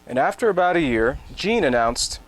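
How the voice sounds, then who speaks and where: A man reading scripted narration for a dramatic reading in a measured, factual manner. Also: a little high, big pitch swings, rough recording.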